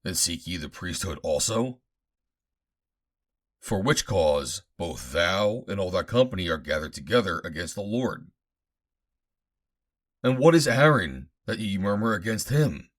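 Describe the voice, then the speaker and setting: A man's voice: low-pitched, animated, a little slow, pauses often. A man voicing a stern, indignant prophet in a scripture reading, declamatory and emphatic. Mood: reproachful. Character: stern male Hebrew prophet, indignant and commanding.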